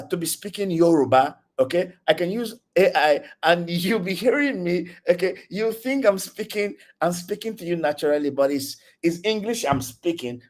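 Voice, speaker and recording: A man with a Nigerian accent giving a casual online tech lecture over a video call, chatty and repetitive, often checking in with "okay?". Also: medium pitch. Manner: chatty, repeats words, frequent "okay?" check-ins. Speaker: guest speaker, tech community leader.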